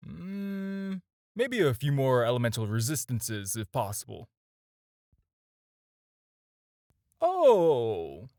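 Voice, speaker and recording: A flirtatious supervillain played by a man speaks straight to the listener in an audio roleplay, recorded dry. His intonation swings widely as he coaxes and teases, with playful, drawn-out pauses. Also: mid-range voice, darkish tone, no echo. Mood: hesitant, asking. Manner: coaxing, playful, hesitant pauses and drawn-out teasing. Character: smooth-talking, flirtatious adult male supervillain, teasing and persuasive.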